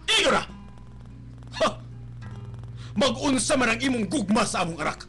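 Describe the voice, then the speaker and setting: A man's voice: very high voice, big pitch swings, normal speed, bright, forceful tone, soft background music, full character voice. A man acting a rich, snobbish, scolding father with a Cebuano accent in a melodramatic radio drama. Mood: furious. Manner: haughty, scolding, explosive. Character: rich, snobbish father scorning daughter's poor suitor. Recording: noisy live-stream radio capture with hum.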